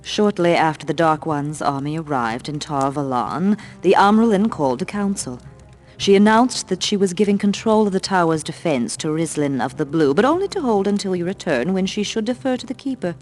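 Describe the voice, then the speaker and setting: A low female voice , acted by a woman, plays a haughty senior councilwoman in a fantasy game cutscene. She speaks formally and with measured authority, as one used to deference. Music plays faintly behind her. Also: expressive, a little mellow, close to an everyday voice. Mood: cool, self-assured. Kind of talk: scripted video game cutscene acting.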